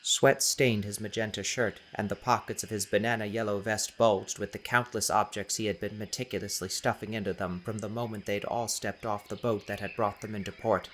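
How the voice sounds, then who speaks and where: A man reading a scripted audiobook as narrator, with a dramatic, deliberate storytelling manner. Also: lowish voice, steady tone, fairly bright tone.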